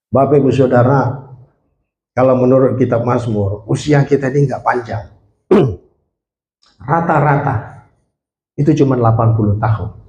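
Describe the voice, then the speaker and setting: A man's voice: on the high side, darkish tone. A man in his late forties preaching a sermon with an Indonesian accent, drawing in the congregation with questions and calls for amen. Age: 40s. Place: church. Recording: echoey church hall.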